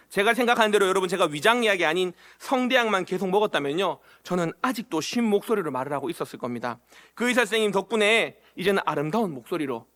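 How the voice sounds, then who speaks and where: Man, pastor, high voice, sermon in a church, questions to the congregation. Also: a bit bright. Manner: asks the congregation questions, acts out short quoted lines. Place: church sanctuary.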